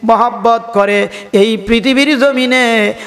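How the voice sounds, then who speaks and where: A long reverb surrounds this Bangladeshi man as he preaches. His voice is very high for a man, and he delivers his fervent sermon slowly, stretching words into a sing-song, exhorting cadence. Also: steady tone, a bit bright. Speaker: Islamic preacher. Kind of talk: fervent sermon with chanted passages and calls for audience response. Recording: long hall reverb, music-like sound behind.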